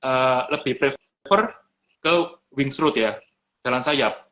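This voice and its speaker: A man's voice: medium pitch, slow pace, bright, forceful tone. A man, a restaurant owner explaining his business in an easygoing chat, sprinkling in English business words. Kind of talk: casual interview chat. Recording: lo-fi live stream audio.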